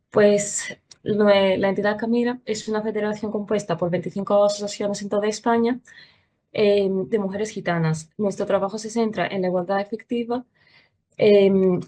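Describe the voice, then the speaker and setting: A woman's voice: medium pitch, darkish tone. Woman presenting in careful Spanish. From Greece. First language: Greek. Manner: careful, apologetic about her Spanish. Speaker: international coordinator of a nonprofit. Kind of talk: online presentation while sharing a screen.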